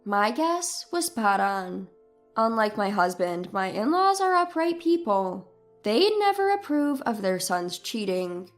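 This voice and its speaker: A woman's voice: medium pitch, very animated, measured pace, faint music behind. A woman reading a scripted first-person dramatic story expressively and with care, as its narrator.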